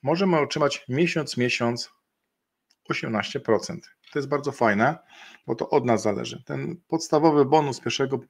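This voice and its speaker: A middle-aged man talking through slides on a video call, in a voice of ordinary middle pitch. He speaks in halting stretches, filling pauses with hesitant sounds and restarting phrases as he explains the figures. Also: vocal fry, husky. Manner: halting, many fillers and restarts, slow deliberate pace in places. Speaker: webinar presenter. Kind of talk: explaining a partner bonus program over slides. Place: indoor room, video call.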